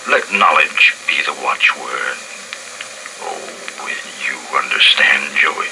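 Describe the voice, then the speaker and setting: A man voicing a frail old grandfather who talks in a slow, halting, wistful way. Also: rumbling low, animated, sharp tone, a little hiss or hum, pitched below the performer's own voice, full character voice, poor audio. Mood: earnest. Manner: slow, halting, trailing delivery. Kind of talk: audio drama reading.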